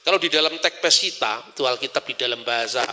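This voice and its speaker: A man with a Javanese accent giving an animated religious lecture, asking rhetorical questions and slipping into Javanese phrases. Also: mid-range voice, quick pace.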